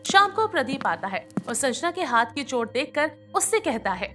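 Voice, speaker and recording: High, lively woman narrating a story. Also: normal speed, faint music behind. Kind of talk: scripted narration of a moral bedtime story.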